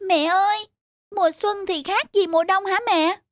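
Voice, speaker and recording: A woman's voice: extremely high pitch, fairly even intonation, normal speed, no echo, clean studio sound. A woman voicing an innocent, kind little flower child in a children's cartoon, earnest and childlike. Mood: curious.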